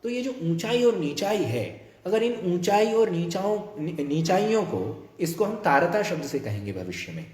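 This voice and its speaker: A man lecturing on music theory into a phone held close, his throat worn from a day of classes. His voice sits a bit high and moves a lot in pitch as he explains. The room adds a noticeable echo. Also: sing-song, darkish tone. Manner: explains slowly, sings scale notes to demonstrate pitch. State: tired throat. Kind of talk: academic lecture with sung examples. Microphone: phone about a foot away.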